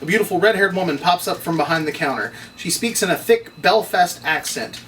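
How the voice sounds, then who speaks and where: A man's voice: high voice, animated, a little fast, fairly bright tone. A man narrating stage directions as he reads from a script at a table read. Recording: distant mic, noisy room.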